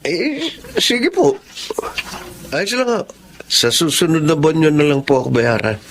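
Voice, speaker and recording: An actor playing an earnest young aspiring teacher in a Filipino radio drama, polite and pleading. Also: male voice, a little high, big pitch swings, unhurried, fairly bright tone, slight background noise, exaggerated voice. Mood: polite, apologetic. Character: earnest young aspiring teacher, dejected son, apologetic tutor.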